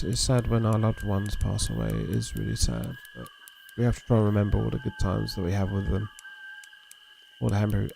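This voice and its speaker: A man with a gravelly, low voice chats easily to his viewers while streaming a fishing game. His tone is mellow and rambling, and a faint outdoor hum sits under his voice. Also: poor audio. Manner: relaxed, rambling, talks to chat and reads messages aloud. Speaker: livestream host.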